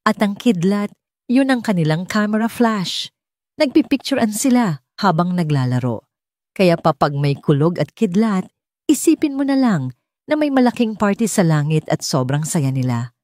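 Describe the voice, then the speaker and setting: A woman voicing a gentle young nanny in an audiobook, soft and reassuring. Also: mid-range voice, very animated, normal speed, no echo, lightly acted. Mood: soothing, playful.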